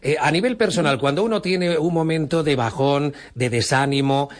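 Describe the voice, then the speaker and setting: A man's voice: a little high, moderate pace. A man with a Spanish accent from Spain, presenting a live promotional interview on the radio.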